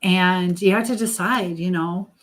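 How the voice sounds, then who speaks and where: A middle-aged woman hosting a casual live chat, reading out messages and giving motherly advice in a rambling, halting way. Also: on the low side, a bit bright. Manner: motherly, advising. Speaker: live stream host.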